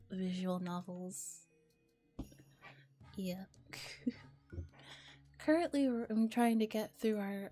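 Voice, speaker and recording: A woman streamer with a soft, breathy voice, reading and chatting gently in a relaxed ASMR style, at times in a whisper. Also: medium pitch. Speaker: streamer hosting visual novel readings.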